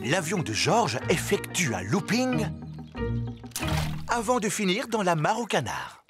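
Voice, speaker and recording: A man's voice: sits high, huge pitch swings, a little slow, heavily acted. A man playing the storybook narrator of a French preschool cartoon, reading scripted narration. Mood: plain storytelling. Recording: loud music behind the voice.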